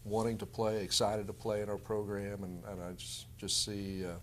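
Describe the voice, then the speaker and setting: Low-voiced American man, a basketball coach. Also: level tone, normal speed, a little hiss or hum. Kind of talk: casual interview answers.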